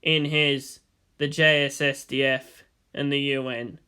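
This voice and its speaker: A man voicing a cold, menacing middle-aged commander and father in a story, contemptuous and deliberate as he threatens. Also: mid-range voice, flat delivery, pushed and bright, clean tone, voice pushed down.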